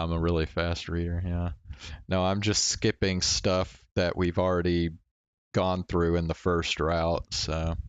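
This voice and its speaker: A man's voice: low-pitched, clear, resonant tone, no echo. A man doing casual game commentary and reading visual novel text aloud. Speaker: game streamer. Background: game music.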